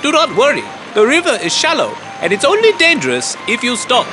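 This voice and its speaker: A man's voice: very high-pitched, wildly swooping pitch, brisk, noisy background, heavily acted. A man voicing an earnest, brave young boy who keeps rhinos in a children's cartoon. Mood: confident.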